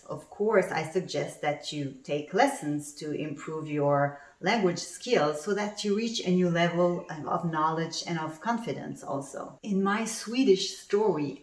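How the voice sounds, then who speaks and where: Woman coaching, roomy distant sound. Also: on the low side. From Canada. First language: French. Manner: coaching tone, tells personal stories, poses questions to the audience. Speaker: communication coach. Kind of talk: online conference presentation.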